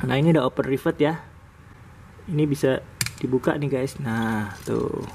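A man hosting a relaxed toy review vlog, talking casually to viewers as he unboxes toy cars at a table. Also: medium pitch, normal speed, creaky, a little hiss or hum. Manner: relaxed, chatty, sprinkled with "guys". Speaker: diecast toy channel host. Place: indoors at a table, off camera.

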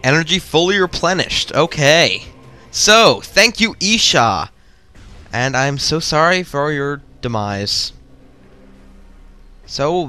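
A man giving casual, excitable, rambling commentary while playing through a video game. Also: a little high. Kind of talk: casual let's-play commentary.

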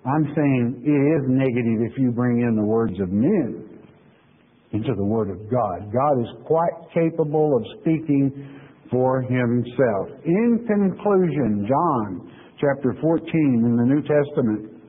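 A husky male preacher works through scripture in an easygoing, chatty way, lingering over each verse before he breaks it down. The sound is thin and phone-like. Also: mid-range voice, lively intonation. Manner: folksy, conversational preaching with frequent "okay?" check-ins; reads verses slowly, then explains them plainly. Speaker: pastor. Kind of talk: sermon lecture on scripture.

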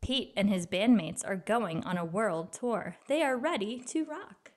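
A woman's voice: mid-range voice, lively intonation, a little slow. Woman reading a children's picture book. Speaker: read-aloud storyteller for children.

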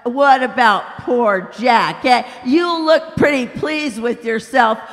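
A woman voicing a blustering, aggrieved man's ghost who shouts his complaints in a storybook reading. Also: fairly high pitch, slow pace. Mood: outraged. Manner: loud, indignant, shouting. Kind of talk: audiobook character voice. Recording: long hall reverb.

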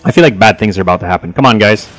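A man's voice: lowish voice, racing, fairly bright tone, soft background music. A man joking wryly and swearing freely while playing a co-op game with friends. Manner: wry, jokey, swears freely. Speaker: channel host. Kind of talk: casual co-op gaming chat.

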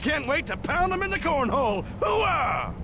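An actor playing a brash, foul-mouthed, gung-ho army captain in a video game, crude and swaggering. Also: male voice, very high voice, sing-song, moderate pace, raised voice, background noise, heavily acted. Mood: fired up. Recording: music under the voice.

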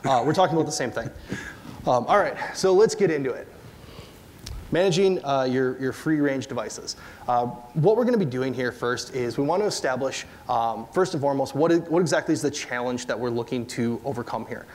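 A man in his thirties with an upper Midwest accent, giving a relaxed technical conference talk with lots of fillers and questions to the audience. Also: medium pitch, fairly quick. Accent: upper Midwest American. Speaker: conference presenter. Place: large dark stage before an audience.

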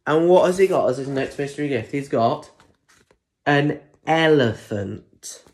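A man's voice: mid-range voice, lively intonation, measured pace, a little hiss or hum. A British man in his twenties reading a children's story in a playful way, with chatty asides and questions for young listeners. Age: 20s. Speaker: primary school story reader. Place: plain room at home. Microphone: phone or tablet at arm's length.

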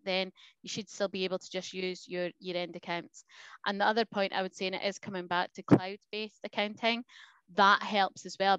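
Smooth, even-toned woman on a headset call. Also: medium pitch, no room sound. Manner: clear, matter-of-fact explaining. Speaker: accountant panelist. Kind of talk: professional video-call discussion. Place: home room. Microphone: headset mic on video call. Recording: dull, lo-fi video-call audio.

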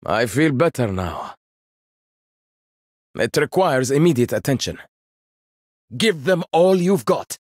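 An actor voicing a proud, witty young male inventor-warrior in a video game, speaking in measured, confident lines with dry wit. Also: mid-range voice, lively intonation, darkish tone, dry recording. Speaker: game character.

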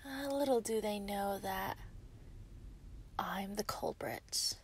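A woman in an ASMR roleplay voicing an obsessive, sweetly possessive female werewolf, breathy and often dropping into a whisper. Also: medium pitch, a little hiss or hum. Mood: sinister, gloating. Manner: slow, teasing, giggly.

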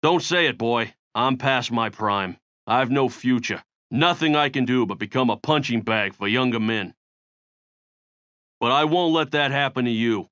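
A man plays a weary prizefighter father in a comic-book audio drama. The mid-pitched male voice is stern, firm and earnest, on a dry, dull recording. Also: fairly bright tone, dry recording, natural voice. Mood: bitter.

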